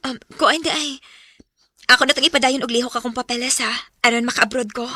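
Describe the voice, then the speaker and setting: An actress with a Visayan Filipino accent playing an emotional, trusting young wife working abroad in a radio drama. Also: female voice, on the high side, steady tone, fast, sharp tone, no echo, studio quality. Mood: earnest. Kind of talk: Visayan radio drama acting with first-person narration.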